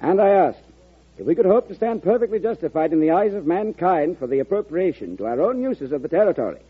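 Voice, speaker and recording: A self-assured, unbending politician in a vintage radio drama. He speaks formally and quickly, with a lively pitch and a slightly rough tone. The old recording is tinny and narrow. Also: full character voice. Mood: firm resolve. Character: self-assured, stubborn politician, coolly defying his party. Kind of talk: 1940s radio drama acting.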